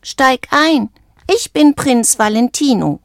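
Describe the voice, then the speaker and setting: A woman acts out a young boy frog from a storybook, convinced he is royalty. The voice is squawky and pitched well up, with an insistent, self-important swagger. The sound is close and dry. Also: lively intonation, slow pace, no echo, full character voice. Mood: important, friendly. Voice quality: high, squawky. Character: boastful, stubborn young male frog convinced he is a prince.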